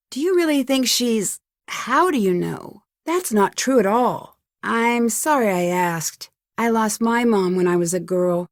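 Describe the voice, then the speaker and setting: A young American woman voicing an earnest, curious and polite amateur detective in an adventure game, with clearly enunciated lines. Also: a little high, a little mellow, no echo, studio quality. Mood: gentle, sympathetic. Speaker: voice actor for a game's detective heroine. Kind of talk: scripted adventure game dialogue.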